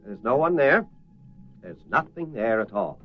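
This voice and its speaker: An actor playing a protective, practical middle-aged father, a reserved gentleman, in a radio drama. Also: mid-range voice, very animated, a little slow, lo-fi sound, a little hiss or hum. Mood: reassuring.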